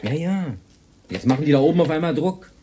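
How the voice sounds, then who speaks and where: An actor playing an easygoing police colleague in radio drama dialogue. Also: male voice, fairly high pitch, brisk, dark tone, a little hiss or hum, lightly acted. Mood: casual.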